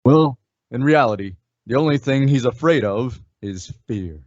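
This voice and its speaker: An actor playing a calm, resolute western hero in an old-time radio style, measured and instructive. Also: male voice, mid-range voice, no echo, dull audio, close to an everyday voice.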